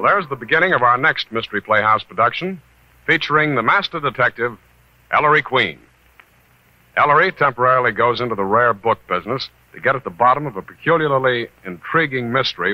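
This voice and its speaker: A man's voice: mid-range voice, expressive, a little fast, dry recording. A man hosting a 1940s radio mystery show, delivering a scripted announcement. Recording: dull old radio recording.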